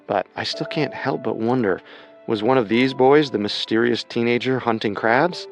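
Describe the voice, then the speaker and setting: A man co-hosting a scripted true-crime show, narrating in a measured storytelling manner. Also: medium pitch, soft background music. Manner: measured storytelling with rhetorical questions. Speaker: co-host narrator.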